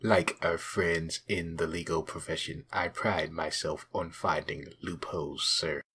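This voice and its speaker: A man acts out a courteous but scheming police sidekick for a comic dub. His voice is extremely deep with a husky, forceful edge, and he speaks in formal, deadpan, measured phrases. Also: slight background noise, heavily acted. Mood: sly. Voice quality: very deep, raspy. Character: dry, polite, scheming male police sidekick.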